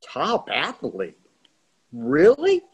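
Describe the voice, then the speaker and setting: An older actor playing a divorced, teasing friend who makes wisecracks, on a video call. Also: male voice, on the high side, huge pitch swings, extremely slow, slightly rough, exaggerated voice.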